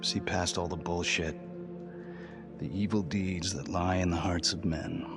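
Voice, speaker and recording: A death-row prisoner in a cinematic crime game, with a low voice. He is intense and manipulative, pressing his case earnestly over clear background music. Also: fairly even intonation, a little slow. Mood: bitter, confiding.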